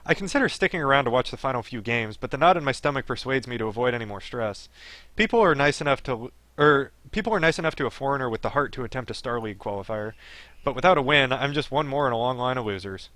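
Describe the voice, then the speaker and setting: A man's voice: mid-range voice, lively intonation, fast talker, fairly bright tone, no echo. A man streaming a game, reading story text aloud and breaking off into chatty, digressive commentary. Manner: chatty, digressive asides while reading narration. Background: game music.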